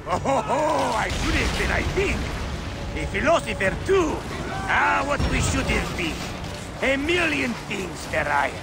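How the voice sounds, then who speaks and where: An actor voicing a gruff old sea captain in a video game, bellowing and florid, over loud music and battle at sea. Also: male voice, extremely high pitch, big pitch swings, measured pace, heavily acted. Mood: wistful, jovial. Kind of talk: video game dramatic acting.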